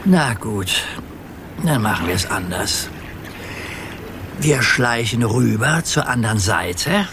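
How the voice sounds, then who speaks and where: Animated old grandpa sea captain. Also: male voice, lowish voice, takes it slowly, noisy background. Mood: resigned, indulgent. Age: older. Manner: theatrical nautical commands, indulgent. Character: playful grandfather acting as sea captain, good-natured. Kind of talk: children's radio play acting. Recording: clear boat and water sounds behind.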